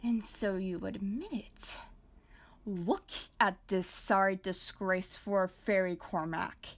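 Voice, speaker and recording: A woman acting in an audio drama as a proud, indignant fairy courtier with a haughty, deliberate manner. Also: medium pitch. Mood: indignant, contemptuous.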